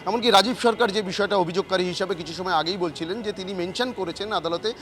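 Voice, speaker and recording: Rapid-fire high-voiced male field reporter. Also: a bit bright. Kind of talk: live on-the-spot TV news reporting. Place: outdoors outside a city courthouse.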